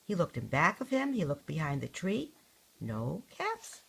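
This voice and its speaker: Older female storybook reader, grandmotherly role, raspy and lowish, expressive and lively with deliberate emphasis. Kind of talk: expressive read-aloud children's story.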